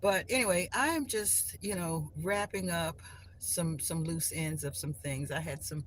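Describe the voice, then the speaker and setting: A woman's voice: sits low, a little slow. Rambling woman hosting a live stream. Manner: rambling, many restarts and "you know" fillers. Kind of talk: casual spiritual talk to viewers. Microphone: phone. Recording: clear background noise.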